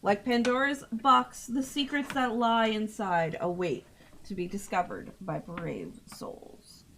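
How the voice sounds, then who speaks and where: A woman's voice: medium pitch, lively intonation, takes it slowly, fairly bright tone, a little hiss or hum. A woman livestreamer talking casually and playfully, often swearing, as she hosts a game stream. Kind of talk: casual livestream chat with some game text read aloud.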